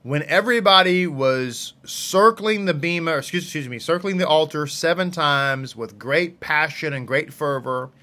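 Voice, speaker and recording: A man with a fairly high, bright and forceful voice teaches scripture informally. He speaks as a religious teacher, leaning on key phrases and repeating them to drive the point home. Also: moderate pace, hardly any pauses. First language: English. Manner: emphatic, repeats phrases for emphasis.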